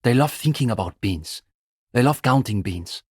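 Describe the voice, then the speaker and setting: A man's voice: medium pitch, lively intonation, normal speed, darkish tone, breathy edge, no room sound, studio quality. An actor playing an earnest young male student communist in a video game, intellectual, opinionated and dryly pedantic. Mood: dryly disdainful. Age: young adult. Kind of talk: video game dialogue voice acting.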